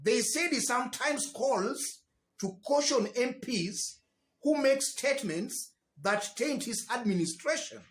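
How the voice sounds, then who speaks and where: Kenyan male commentator, high animated voice, emphatic news delivery in an echoey room. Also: unhurried. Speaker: political commentary host.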